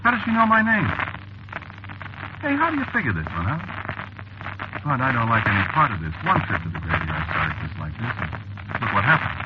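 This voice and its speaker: A man's voice: medium pitch, huge pitch swings, fairly quick, long pauses. An actor playing a streetwise, jittery working-class American cab driver who tells his story in slangy, hesitant fashion, in old radio drama style. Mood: uneasy recounting. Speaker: narrator and lead character. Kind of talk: 1940s radio drama acting, first-person narration. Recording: noisy, muffled old radio broadcast recording.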